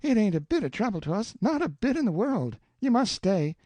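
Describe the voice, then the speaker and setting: A man voicing a flustered, hospitable older Southern aunt who scolds in a shrill, excitable voice. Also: brisk, dry recording.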